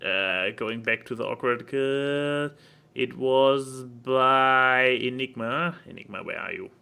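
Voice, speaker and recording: A man's voice: mid-range voice, slow pace, vocal fry, bright, forceful tone, clean tone. A man co-hosting a casual podcast over an online voice call, with a jokey, irreverent manner. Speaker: podcast co-host.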